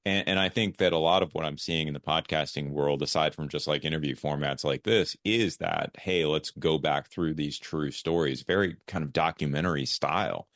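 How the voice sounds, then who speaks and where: A man's voice: very deep voice, normal speed, hardly any pauses, fairly bright tone, no echo, clean studio sound. A man hosting a podcast in casual interview chat, reflectively thinking aloud as he talks. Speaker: podcast host.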